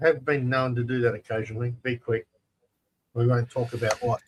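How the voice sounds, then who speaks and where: A man's voice: mid-range voice, level tone, brisk, a little hiss or hum, rough recording. A man with an ordinary mid-range voice co-hosts a casual livestream. He speaks with emphasis and repeats figures to make them stick. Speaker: co-host. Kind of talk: casual chat.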